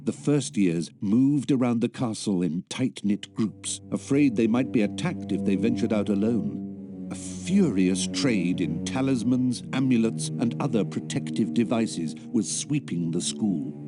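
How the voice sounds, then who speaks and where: An actor playing the storybook narrator of a wizard school tale in a video game, reading scripted narration. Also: male voice, fairly low pitch, lively intonation, measured pace, music in the background. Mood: ominous, storytelling.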